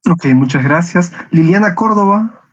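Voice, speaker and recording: A man's voice: high voice, expressive, measured pace. A man teaching an online class, calling on students by name and thanking each one briefly. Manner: brief, polite acknowledgements. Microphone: video call. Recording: muffled video call audio.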